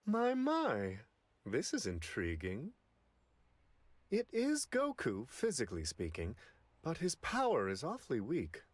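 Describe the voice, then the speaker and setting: Courtly otherworldly attendant, anime game, very slow measured phrasing, wildly swooping pitch, polite and unflappable. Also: male voice, wildly swooping pitch, no room sound. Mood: intrigued, composed. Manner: prim, polite, theatrically lilting delivery. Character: courtly, unflappable male celestial attendant to a god. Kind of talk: anime video game voice acting.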